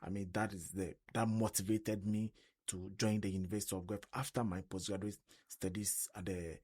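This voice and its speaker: An earnest young man from Ghana with a Ghanaian accent and a lowish voice. He talks warmly and at length as a new radio co-host, repeating words and filling pauses with "I mean" as he gathers his thoughts. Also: little pitch movement, slightly rough. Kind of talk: casual radio interview.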